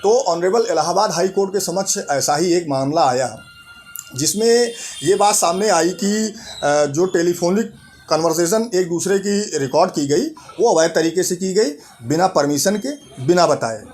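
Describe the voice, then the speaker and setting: Higher-voiced man explaining. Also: lively intonation, darkish tone, a little hiss or hum. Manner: deliberate, repeats and rephrases points. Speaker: host of a legal information channel. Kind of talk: explanatory legal talk to camera.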